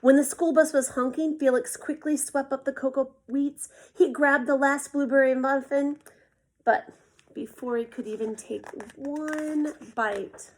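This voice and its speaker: Woman, children's read-aloud narration, unhurried pace, fairly high pitch, expressive. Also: poor audio. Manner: expressive, deliberate storytelling. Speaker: storyteller.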